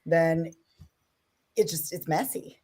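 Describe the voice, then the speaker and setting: A woman's voice: low-pitched, takes it slowly, dark tone. A woman appearing as a podcast guest, speaking with enthusiasm and encouragement in a casual chat.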